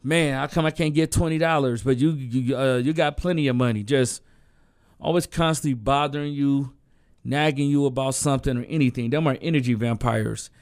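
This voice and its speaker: A man co-hosting a casual talk show, a bit hoarse from a sore throat, talking in a loose, laid-back way with lots of fillers. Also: medium pitch, fairly quick. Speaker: co-host. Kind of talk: casual podcast chat.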